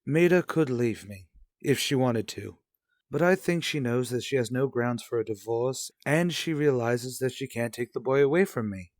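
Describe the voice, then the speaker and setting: An actor playing a stern, guarded husband in a radio soap drama, speaking in a formal, measured, skeptical way. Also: male voice, medium pitch, animated, darkish tone, dry recording, studio quality, close to an everyday voice. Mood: coolly resigned. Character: stern, guarded husband and father in troubled marriage. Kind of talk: revived vintage radio soap drama acting.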